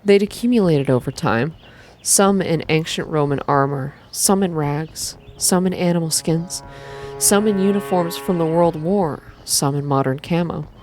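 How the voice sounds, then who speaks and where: Female narrator, lowish register, soft ASMR storytelling, measured and atmospheric, light music underneath. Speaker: reader of a video game story.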